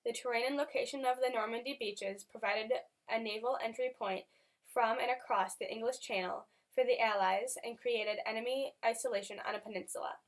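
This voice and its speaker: A woman reading out a scripted newsreel about history. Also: on the high side. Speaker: student newsreel narrator. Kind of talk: scripted newsreel narration, read aloud.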